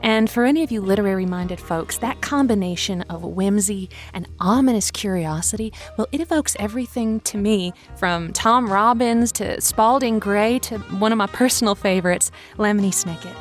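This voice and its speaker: A woman's voice: medium pitch, moderate pace, few pauses, soft background music, natural voice. An actress playing a quirky, chatty woman who hosts a lonely-hearts radio show, wordy and playfully self-deprecating. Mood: chirpy, playful. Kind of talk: scripted fiction podcast, conversational radio-host patter.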